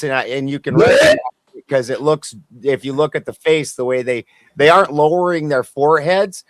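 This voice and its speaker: A man's voice: medium pitch, dry recording. A man on a livestream panel, talking in a rambling, crude and self-mocking way. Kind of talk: casual banter.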